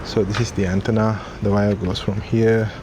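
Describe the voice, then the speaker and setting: A man giving a casual, low-key and somewhat hesitant unboxing and installation walkthrough. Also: level tone, moderate pace. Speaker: vlogger demonstrating a product. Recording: clearly noisy, vehicle ambience.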